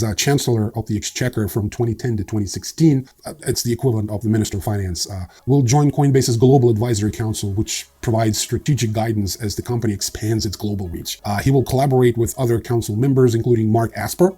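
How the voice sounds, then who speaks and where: A man's voice: mid-range voice, warm tone, husky. A man hosting a casual news roundup, reading headlines with frequent fillers and slipping in dry, sarcastic asides. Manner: wry, dry sarcasm, frequent ums and uhs. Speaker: crypto news host.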